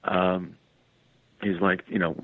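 A man's voice: sits low, flat delivery, takes it slowly, no echo. A man, talking casually as an interview guest and telling stories in a conversational way. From Canada. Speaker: interview guest, stage entertainer. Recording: tinny phone-like line, dry.

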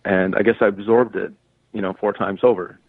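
A man's voice: fairly low pitch, fairly even intonation, brisk, no room sound. A man, talking casually as an interview guest and telling stories in a conversational way. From Canada. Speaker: interview guest, stage entertainer. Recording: tinny phone-like line, dry.

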